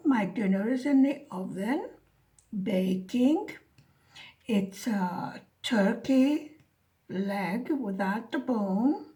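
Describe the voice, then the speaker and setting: A woman with a slight Hungarian-American accent talks through her diamond painting at an unhurried pace. Her voice sits a little high, and she rambles in a chatty way, calling her viewers folks. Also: darkish tone. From United States. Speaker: craft vlogger. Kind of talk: casual chatty craft narration.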